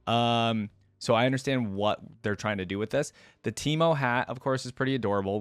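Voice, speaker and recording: A man's voice: lowish voice, no room sound. A man giving casual reaction commentary as a video host, thinking aloud in informal, slangy language.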